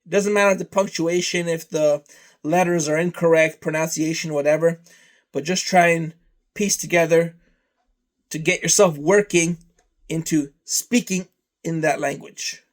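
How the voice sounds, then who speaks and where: A man whose first language is Serbian, talking slowly and rambling through a casual vlog, trailing off into long lists. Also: fairly high pitch, fairly even intonation. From Canada. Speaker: vlogger.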